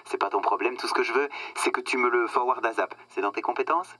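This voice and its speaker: A man's voice: medium pitch, fast, pushed and bright. An actor playing a smug, patronizing corporate marketing man who talks in glib business jargon in a radio satire. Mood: curt, condescending. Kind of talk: satirical radio comedy dialogue, phone-call scene.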